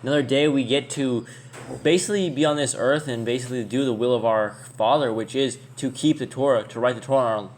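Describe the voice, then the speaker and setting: A man with a middle-pitched voice co-hosts a relaxed scripture talk, upbeat and a bit repetitive in his phrasing. Faint background noise sits under the recording. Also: quick pace. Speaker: co-host. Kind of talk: casual Bible study chat.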